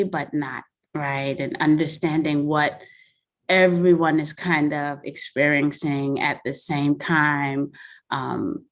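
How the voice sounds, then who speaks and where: A woman who is an executive director, sharing a measured, reflective account with a group, with frequent ums. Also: deep voice. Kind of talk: group reflection on a video call.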